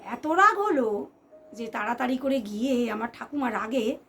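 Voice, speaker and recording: A woman tells a ghost story to listeners in an expressive, suspenseful way, repeating phrases for effect. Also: on the high side, moderate pace. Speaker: storyteller, channel host. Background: background music.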